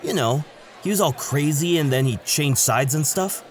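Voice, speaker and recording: A man's voice: on the high side, expressive, moderate pace, slight background noise, natural voice. A man playing an eager, awkward male student in a casual, chatty audio drama style. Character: excitable, awkward male student, lovelorn new kid.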